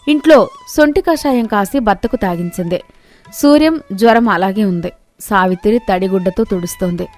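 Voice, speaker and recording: A woman reading scripted narration for a moral story as its narrator. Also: mid-range voice.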